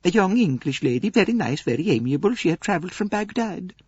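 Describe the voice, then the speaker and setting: A man voicing a kindly, pious middle-aged Swedish woman with a Swedish accent, speaking softly and earnestly in formal phrases. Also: high voice, big pitch swings, fast, husky, no echo, voice pushed up, full character voice. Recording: dull dry studio recording.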